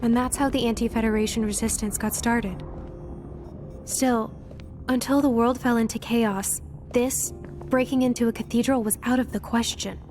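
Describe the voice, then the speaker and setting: A woman's voice: on the high side, fairly even intonation, moderate pace, darkish tone, close to an everyday voice. A woman playing a composed resistance leader in a video game, assured and explanatory. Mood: resolute. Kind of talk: animated video game voice acting. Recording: faint music behind the voice.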